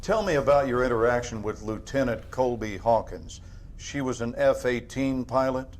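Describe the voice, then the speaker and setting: Man playing a calm, methodical interrogator. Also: medium pitch, natural voice. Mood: questioning. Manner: measured, controlled.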